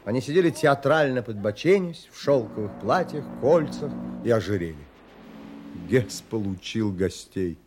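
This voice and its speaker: An actor playing an earnest, reflective gentleman traveler, narrating an audio drama in literary, polite phrasing. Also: medium pitch, lively intonation, noisy background, natural voice. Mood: reflective, wistful. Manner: literary, measured, polite but firm.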